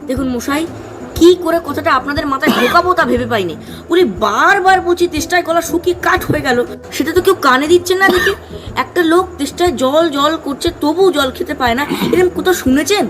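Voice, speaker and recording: Comedy audio drama: male actor as weary, exasperated wayfarer, plaintive and unusually high voice, background music. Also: fast, low-quality recording. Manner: plaintive, pleading. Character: polite, thirsty, increasingly exasperated male traveller.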